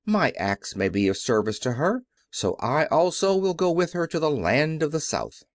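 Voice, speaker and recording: A man voicing a tender-hearted tin woodman in a children's storybook, gentle and agreeable. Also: a little high, very animated, moderate pace, no room sound. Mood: kindly.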